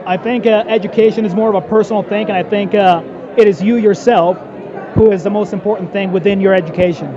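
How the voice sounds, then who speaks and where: A man's voice: high-pitched, fairly even intonation, fast talker. A man in his late twenties giving casual, blunt answers in an informal interview. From born in Colombia, lived in the United States. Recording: dull sound in noisy public space. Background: crowd chatter.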